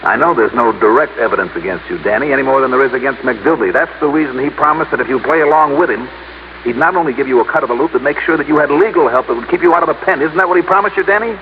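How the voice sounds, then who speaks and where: A man's voice: mid-range voice, rapid-fire, natural voice. A male actor playing a shrewd, relentless insurance investigator in a 1950s radio detective drama, questioning in a probing, insistent way. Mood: forceful, pressing. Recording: crackly old radio broadcast, narrow muffled band.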